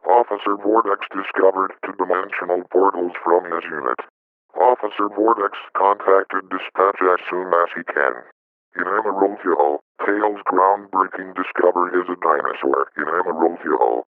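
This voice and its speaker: Man as police chief, very low smooth voice, official scripted emergency announcement, dull dry audio. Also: moderate pace, no room sound, natural voice. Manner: official, formal.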